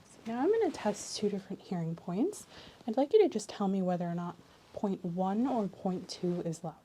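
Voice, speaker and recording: A woman soft-speaking an ASMR medical exam role-play as the examiner, explaining in a clinical way and often slipping into a whisper. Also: mid-range voice, animated, a little fast, breathy edge.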